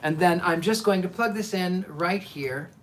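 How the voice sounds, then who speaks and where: A man's voice: high-pitched, normal speed, fairly bright tone, a little hiss or hum. A man hosting a livestream as a storyteller, moving between dramatic live reading and casual asides to listeners.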